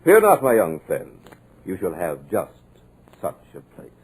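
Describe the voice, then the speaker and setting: An actor playing a cultured, sinister villain in a 1950s radio drama, with a husky, measured, mocking delivery in an old radio recording. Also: male voice, deep voice, huge pitch swings, over loud music, full character voice, poor audio. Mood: menacing. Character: sinister, cultured male villain holding a writer captive.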